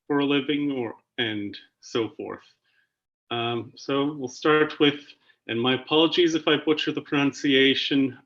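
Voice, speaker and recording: Slow, halting man hosting. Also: medium pitch, fairly bright tone. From Canada. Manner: halting, with frequent fillers and restarts. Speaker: panel host. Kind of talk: hosting an online panel. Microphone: video call. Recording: muffled video call audio.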